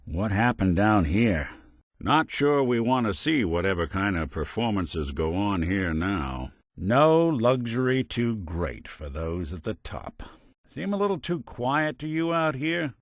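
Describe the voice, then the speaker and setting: A man's voice: fairly low pitch, dry recording, full character voice. A man playing a world-weary, middle-aged android detective in a video game, with an American accent and a wry, hard-boiled way of talking. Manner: wry, dry, old-fashioned hard-boiled slang. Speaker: companion character in a video game.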